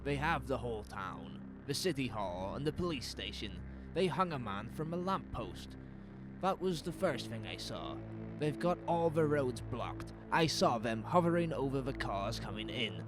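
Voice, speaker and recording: A man's voice: medium pitch, sing-song, moderate pace, music in the background, pitched above the performer's own voice, lightly acted. A man playing an exhausted, desperate man in flight, urgent and insistent, in an audio drama. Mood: desperate, shaken. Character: exhausted, desperate man who escaped an alien invasion. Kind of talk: audio drama character acting.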